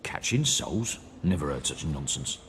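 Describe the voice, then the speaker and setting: Animated, bright flustered underling. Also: male voice, rumbling low, a little slow, full character voice. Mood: grumbling. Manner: animated, colloquial. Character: flustered, grumbling male underling in fantasy game. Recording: long hall reverb.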